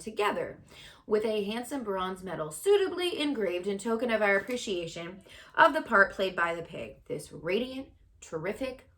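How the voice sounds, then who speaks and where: A woman's voice: medium pitch, fairly bright tone. A woman putting on a pompous fair announcer man. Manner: pompous, speech-making. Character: booming male fair announcer, grand and flustered.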